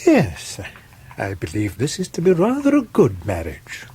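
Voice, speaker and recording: An actor playing a genteel middle-aged Victorian lawyer in a radio drama, with an English accent and a hesitant, fussy, pompous manner. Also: male voice, mid-range voice, huge pitch swings, unhurried, mellow, breathy edge, full character voice. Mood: genteel, flustered.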